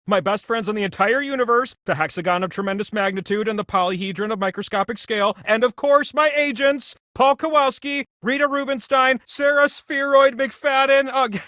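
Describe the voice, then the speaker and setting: A man's voice: very high-pitched, a bit bright, no echo, studio quality. A man voicing a giant, ancient talking wheel in a video game, a jovial, grandiose and needy godlike host with a chatty, theatrical delivery. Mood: gushing gratitude. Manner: chatty, theatrical, swings between gushing and mumbled asides. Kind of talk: comic video game character monologue.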